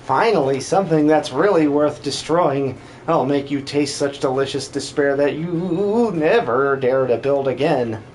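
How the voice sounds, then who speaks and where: A man voicing a huge, villainous ape in a video game dub, pompous and menacing in slow, drawn-out monologue. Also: a little high, darkish tone, a little hiss or hum, natural voice, rough recording. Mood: gloating, threatening.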